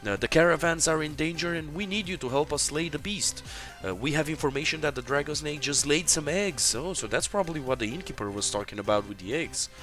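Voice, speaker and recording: A man's voice: on the high side, fairly quick, few pauses, fairly bright tone, soft background music. A man from Romania, commenting live as he plays through a fantasy online game. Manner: narrates his actions, many fillers. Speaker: game developer streaming gameplay.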